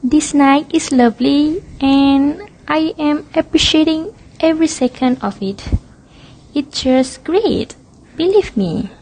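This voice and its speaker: A woman's voice: sits high, slow, darkish tone, poor audio. A woman playing a guarded, vain young woman on a date in an amateur romance radio drama, polite and restrained. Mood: falsely reassuring.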